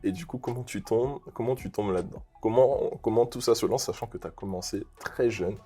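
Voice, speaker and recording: A male host with a very deep voice runs a casual interview and keeps the questions coming quickly. Faint music sits behind him. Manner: lively intro, quick probing questions.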